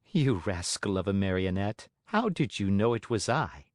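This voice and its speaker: A man voicing a kindly, motherly fairy woman in a storybook, speaking in a gentle, measured, teasing way. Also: lowish voice, very animated, no room sound, clean studio sound. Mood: amused. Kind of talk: audiobook character acting.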